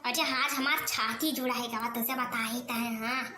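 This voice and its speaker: A woman's voice: fairly high pitch, fairly even intonation, moderate pace, bright, forceful tone, roomy sound, a little hiss or hum. A woman with an Awadhi accent voicing a quarrelsome village mother-in-law in a cartoon, scolding in a rustic, dramatic way. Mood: complaining. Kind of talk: cartoon voice acting.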